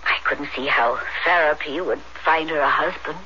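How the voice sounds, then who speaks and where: A woman's voice: deep voice, moderate pace, bright, forceful tone. A woman playing an anxious, frank middle-aged mother in an old-fashioned radio drama, earnest, theatrical and confiding. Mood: worried, frank. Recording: dull, noisy old radio recording.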